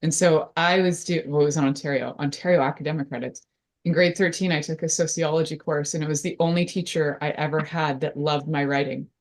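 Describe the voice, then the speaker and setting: A woman's voice: deep voice, steady tone, fairly quick. A woman from Canada telling an amused, chatty anecdote as a guest author. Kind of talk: casual anecdote.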